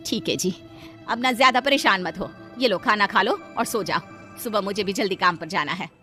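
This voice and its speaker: A woman's voice: mid-range voice, animated, moderate pace, sharp tone, faint music behind, voice pushed down. A woman voicing a poor housemaid and wife, anxious and pleading, in a cartoon. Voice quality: pleading, fretful. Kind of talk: Hindi cartoon voice acting.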